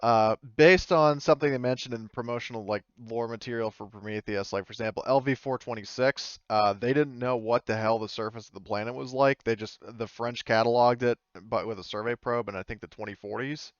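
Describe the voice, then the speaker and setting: Guy running a tabletop game, casual, smooth clean voice, close dry slightly dull audio. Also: medium pitch, steady tone, brisk, hardly any pauses, dry recording. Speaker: game master.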